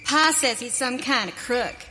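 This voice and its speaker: A woman's voice: high voice, lively intonation, a little slow, sharp tone, faint music behind, heavily acted. A woman playing an eager, easily flustered young pioneer daughter in a western radio play. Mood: fretful. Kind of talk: live western radio theater acting. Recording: hall reverb, clear background noise.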